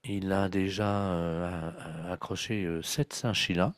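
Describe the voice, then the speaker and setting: A man making a deadpan prank phone call, speaking seriously and a little hesitantly. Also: deep voice, measured pace, dry recording, clean studio sound. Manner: serious, hesitant delivery of absurd claims. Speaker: prank caller.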